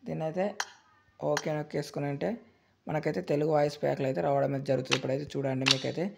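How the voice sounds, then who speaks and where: A man runs through a game tutorial at top speed, full of repetitive fillers. His voice sits at a middle pitch. The recording carries clear background noise. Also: level tone. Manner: rapid, repetitive filler-heavy explaining. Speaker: gaming channel host.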